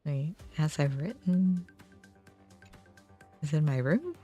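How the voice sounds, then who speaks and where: Woman streaming over clearly audible game music. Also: low voice, big pitch swings, takes it slowly, dark tone. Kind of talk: live game playthrough, reading dialogue aloud with casual reactions. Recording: music in the background.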